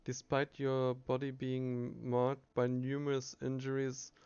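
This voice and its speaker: A man's voice: medium pitch, completely flat delivery, measured pace, darkish tone, clear, resonant tone, dry recording. A man reading a game's story text aloud as he plays, with frequent fillers and restarts. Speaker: game streamer. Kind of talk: reading game text aloud while playing. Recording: dull lo-fi recording.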